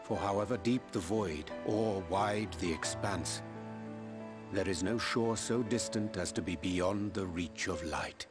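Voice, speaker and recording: A man playing a solemn, gentle, poetic figure in a fantasy video game, with archaic, unhurried diction. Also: sits low, music in the background. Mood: solemn, tender. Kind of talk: dramatic video game cutscene acting.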